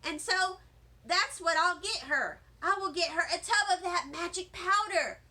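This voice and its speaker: A woman voicing an eager, excited first-grade boy in a children's storybook, speaking emphatically. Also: very high-pitched, unhurried, exaggerated voice. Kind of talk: children's storybook read-aloud.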